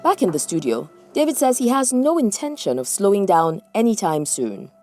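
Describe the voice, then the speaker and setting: A woman's voice: medium pitch, moderate pace. A woman narrating a news feature in a polished broadcast voice-over. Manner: polished, lively broadcast delivery. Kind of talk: scripted narration. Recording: voice-over over loud music.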